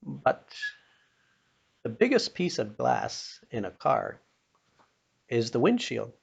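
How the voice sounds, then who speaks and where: An older man with a North American accent and a gravelly voice, telling a personal story in an unhurried, plain-spoken, folksy way, as if passing on a lesson. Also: mid-range voice, very animated. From Pennsylvania, United States. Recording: dull, muffled lo-fi recording.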